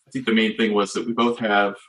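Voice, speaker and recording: A man's voice: fairly low pitch, flat delivery, fast talker, a bit bright. A man co-hosting a podcast in casual chat, rambling with many fillers and restarts. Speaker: podcast co-host.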